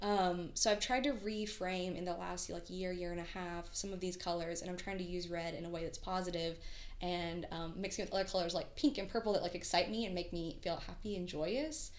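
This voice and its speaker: A woman's voice: on the low side, fairly even intonation, a little fast. A woman guest artist telling stories in a casual, enthusiastic podcast conversation. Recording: roomy, echoey sound.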